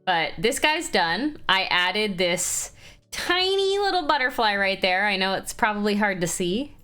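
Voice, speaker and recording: A woman with a congested, stuffy voice chats casually to her livestream viewers and greets each one by name. Her pitch sits in an ordinary middle range. Faint music plays behind her. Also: pushed and bright. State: congested, clearing throat. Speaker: streamer.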